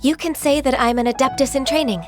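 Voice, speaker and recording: A woman playing a gentle, earnest young female half-spirit in a video game, speaking politely, formally and with some hesitation. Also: sits high, fairly even intonation, quick pace, over music, lightly acted.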